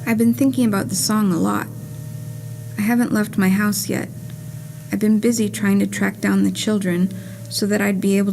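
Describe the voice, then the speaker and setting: A woman reading the part of a wry, anxious, lovesick traveller in a scripted puppet play, in a measured, confessional storytelling manner. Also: medium pitch, vocal fry, a little mellow, close to an everyday voice, poor audio. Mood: wry unease. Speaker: reader performing a puppet play. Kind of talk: scripted first-person storytelling, letter-like monologue. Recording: live theatre reading over guitar music.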